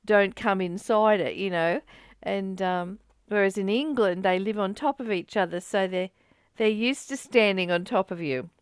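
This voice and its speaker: A woman's voice: mid-range voice, moderate pace, clear, resonant tone, no room sound, studio quality. A woman in a reflective spiritual discussion, speaking in a personal, conversational way to a partner. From Australia.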